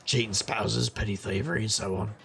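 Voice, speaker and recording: Male, middle-aged private eye, acted: world-weary, wry, deep fry and gravel, audio drama noir. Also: steady tone, brisk, crowd noise. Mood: casual, evasive. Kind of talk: audio drama narration and dialogue.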